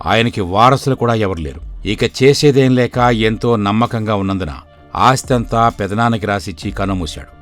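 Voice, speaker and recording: A man's voice: fairly low pitch, moderate pace, fairly bright tone, faint music behind, natural voice. A man playing a poor older father in a village moral story, explaining things in a resigned way. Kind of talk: dramatized moral story for video.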